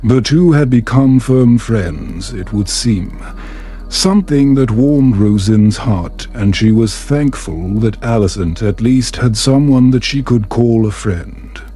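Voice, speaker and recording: A man narrating a story in a calm, soft, soothing and measured way, meant for bedtime. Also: mid-range voice, faint music behind.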